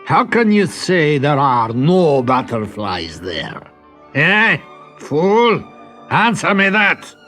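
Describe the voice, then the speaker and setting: Storybook villain prince: haughty, bullying, deliberate and commanding, raised animated delivery. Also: male voice, on the high side, soft background music, pitched above the performer's own voice, full character voice. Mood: sneering anger. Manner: imperious, clipped commands. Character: haughty, sneering, bullying prince, quick to anger. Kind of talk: storybook character acting.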